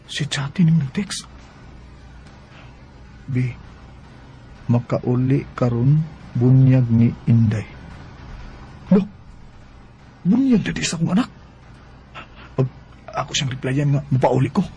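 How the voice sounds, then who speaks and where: A man acting in a Bisaya radio drama as a smooth-talking, evasive young delivery driver with a Cebuano Filipino accent, given to exaggerated reactions and muttered asides. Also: medium pitch, lively intonation, slow, pauses often, mellow. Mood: flustered, evasive. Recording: noisy radio drama recording.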